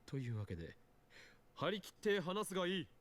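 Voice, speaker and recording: A man's voice: high voice, big pitch swings, rapid-fire, a bit bright. An actor playing a pompous, theatrical young man who teases, in a visual novel. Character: pompous, theatrical, teasing young self-styled boss. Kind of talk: Japanese visual novel voice acting.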